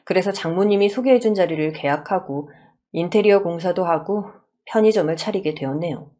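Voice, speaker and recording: A woman's voice: fairly low pitch, darkish tone. A woman reading a scripted first-person story as a dramatized narration.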